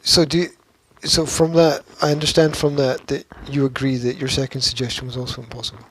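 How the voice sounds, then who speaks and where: A man's voice: mid-range voice, little pitch movement, brisk, rough recording. A man co-hosting a casual, argumentative podcast, speaking insistently, repeating himself, cutting in and swearing freely. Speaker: podcast co-host. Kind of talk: casual argumentative banter between co-hosts.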